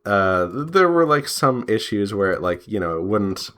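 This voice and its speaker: Guy with a lowish voice doing a laid back art devlog, talks really slow, rambles and stops mid sentence. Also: big pitch swings, fairly bright tone. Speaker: artist and devlog host. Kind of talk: casual chat while drawing.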